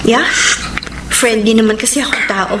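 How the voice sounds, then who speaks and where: A woman's voice: a little high, normal speed, background noise, close to an everyday voice. An actress playing a heartbroken, weary but resolute woman in unrequited love, with a Filipino accent, in a radio romance drama. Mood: guarded, casual. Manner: code-switches between Tagalog and English. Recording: echoey, loud music bed.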